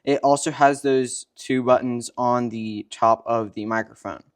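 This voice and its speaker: Young male co-presenter, smooth clear tone. Matter-of-fact, instructional, tutorial-style explanation. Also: medium pitch, steady tone, measured pace. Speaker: co-presenter demonstrating equipment.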